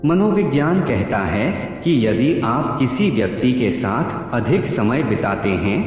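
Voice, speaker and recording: A man reading scripted narration in measured, deliberate short phrases. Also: mid-range voice, sing-song, a little mellow. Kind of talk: scripted narration of psychology facts. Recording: heavy echo, long reverb, background music. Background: music.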